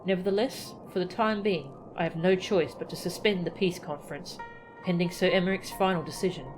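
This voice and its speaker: A woman voicing a dutiful military commander from a fantasy game, formal and measured. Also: on the low side, natural voice, rough recording. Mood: grave, resolute. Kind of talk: reading game dialogue aloud. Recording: game music behind the voice.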